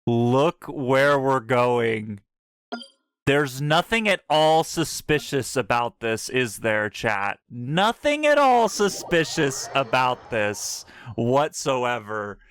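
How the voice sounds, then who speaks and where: A man livestreaming casual game commentary, excitable and repeating phrases for emphasis as he talks to his chat. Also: mid-range voice, takes it slowly, a little hiss or hum. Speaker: game streamer. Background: faint game music.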